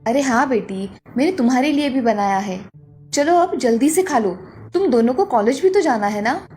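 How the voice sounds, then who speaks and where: Woman acting high-voiced cartoon family woman, music behind. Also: animated, moderate pace, darkish tone. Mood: caring, gentle. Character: caring mother and her sulky college-age elder daughter. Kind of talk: dramatised Hindi cartoon story dialogue.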